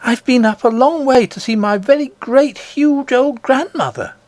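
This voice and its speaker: A man's voice: extremely high pitch, normal speed, a little mellow, no echo, pitched above the performer's own voice. A man voicing an earnest, indignant little princess in a read-aloud storybook, eager and insistent in a childlike way.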